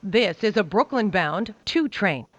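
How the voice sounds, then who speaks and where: A woman giving recorded train announcements in a measured, formulaic announcer delivery. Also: mid-range voice, sing-song. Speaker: transit announcer.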